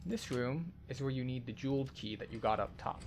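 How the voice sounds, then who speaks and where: A man's voice: medium pitch, a little fast. A man giving walkthrough commentary on a video game as a tour guide.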